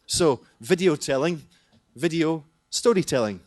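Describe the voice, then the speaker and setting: A man giving a lively, jokey talk at a conference hall, often asking the audience questions. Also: on the high side, a little slow. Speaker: conference presenter, teacher trainer.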